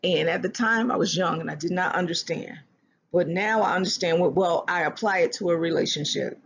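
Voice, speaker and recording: A speaker giving earnest relationship advice in a self-help talk, often restarting phrases and slowing down to stress a point. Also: medium pitch, vocal fry, a bit bright, breathy edge, highs cut off. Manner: earnest, repeats and restarts phrases, slows for emphasis.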